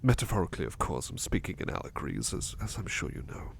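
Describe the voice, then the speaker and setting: A man playing a devoted, anxious butler with a haunted past in an intimate ASMR roleplay, speaking softly and haltingly with stammers. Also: sits low, sing-song, vocal fry, slight background noise, full character voice. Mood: yearning. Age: middle-aged or younger adult. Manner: hesitant, stammering, soft-spoken, drifting into whispers.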